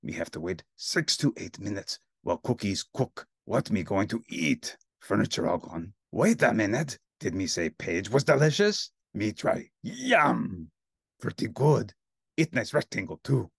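A man voicing a greedy, cookie-obsessed male monster who talks in broken "me" grammar with a gravelly, husky growl. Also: fairly low pitch, sing-song, measured pace, no echo, studio quality, exaggerated voice. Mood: gleeful. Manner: broken caveman grammar, self-called "me".

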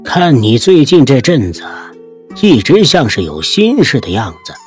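An actor playing an elderly, perceptive reclusive master in a Chinese costume audio drama, with an unhurried, probing manner. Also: male voice, medium pitch, wildly swooping pitch, darkish tone, soft background music. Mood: knowing.

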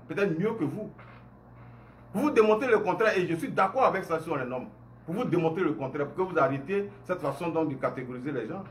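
A man in his forties with an Ivorian French accent delivers an emphatic, indignant speech straight to his phone camera. His voice sits high for a man, and he keeps firing off rhetorical questions. It is recorded indoors in a room at home. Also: a little hiss or hum. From Côte d'Ivoire. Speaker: online commentator. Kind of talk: impassioned monologue to camera.

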